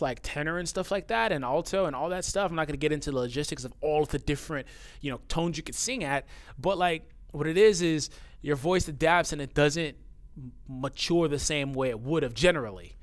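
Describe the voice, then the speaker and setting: Blunt young-sounding man with a smooth voice. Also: a little high, brisk. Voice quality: youthful-sounding voice. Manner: blunt, swears often, gets heated. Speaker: YouTuber reading viewer comments. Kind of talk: casual talk to camera.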